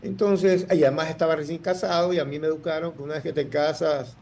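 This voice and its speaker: A man's voice: fairly high pitch, fairly even intonation, quick pace, darkish tone, slight background noise. A man, telling his story in an interview, rambling with many restarts. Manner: rambling, full of restarts and fillers. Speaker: guest entrepreneur.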